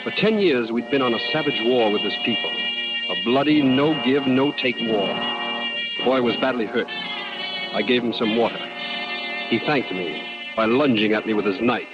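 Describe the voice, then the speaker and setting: An actor playing a plainspoken former soldier turned prospector, telling his story in measured, matter-of-fact radio drama style. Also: medium pitch, lively intonation, natural voice. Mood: measured, grave. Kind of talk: 1950s radio drama narration. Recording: dull old radio recording, loud music behind.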